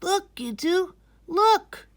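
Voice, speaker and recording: A timid but eager little boy as a woman storyteller plays him in a children's storybook. The voice is pitched well up and sounds close and dry, with a young child's earnestness. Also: animated, very slow, no echo, distant mic, full character voice. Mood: excited.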